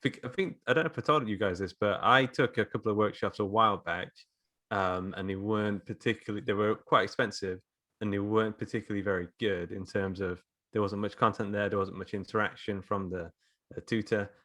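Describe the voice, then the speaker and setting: A man's voice: fairly low pitch, steady tone, brisk, sharp tone. A man who teaches writing, speaking casually as a guest in a podcast conversation.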